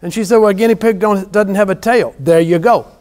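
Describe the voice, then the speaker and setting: Folksy, high-pitched Southern US man lecturing. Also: a little fast, a little mellow. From South Louisiana, USA. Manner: folksy, joking, exclamatory, teasing the students. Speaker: university lecturer. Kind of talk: informal classroom lecture banter. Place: university classroom.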